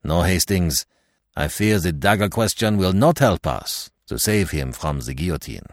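A man voicing a shrewd, courteous Belgian detective in an audiobook, precise and calmly confident. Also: sits low, moderate pace, no echo, studio quality. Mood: measured.

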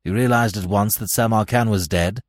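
A man's voice: lowish voice, moderate pace, no echo, clean studio sound, natural voice. Man as harsh, snapping British investigator. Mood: harsh questioning. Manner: curt, snapping, emphatic.

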